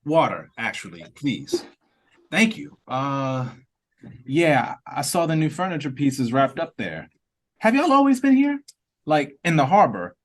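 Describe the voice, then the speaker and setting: A man's voice: a little high, huge pitch swings, a little slow, heavily acted. A man with an American accent playing a polite, unassuming late-night customer in an audio play read-through. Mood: curious. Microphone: video call.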